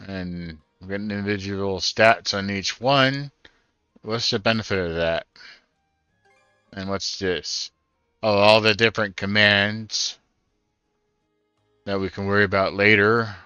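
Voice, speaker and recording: A man casually narrates his playthrough, musing aloud as he goes. His voice is low and clear, recorded dry with game music behind it. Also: steady tone, measured pace, long pauses, sharp tone, no room sound, lo-fi sound. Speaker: gaming streamer. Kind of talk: casual first-time playthrough commentary.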